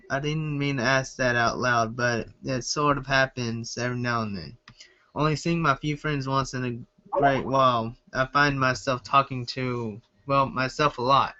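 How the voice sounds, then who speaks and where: A man's voice: mid-range voice, bright, forceful tone. A man reading a first-person story aloud as an amateur, stumbling over words now and then. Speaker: amateur fan fiction reader. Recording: dull lo-fi home recording.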